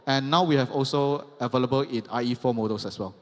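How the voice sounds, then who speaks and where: A man's voice: mid-range voice, fast talker. A man giving a technical trade-show presentation, explaining step by step and checking in with "yeah" and "right". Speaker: conference presenter. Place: large exhibition hall. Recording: long hall reverb.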